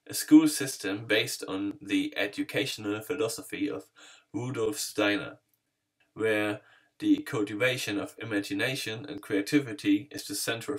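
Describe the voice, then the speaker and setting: A man in his thirties from Germany with a German accent, earnestly reading a scripted introduction of himself to a webcam in a small bedroom. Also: on the low side. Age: 30s. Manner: friendly, earnest, steady read-out delivery. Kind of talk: scripted self-introduction to camera.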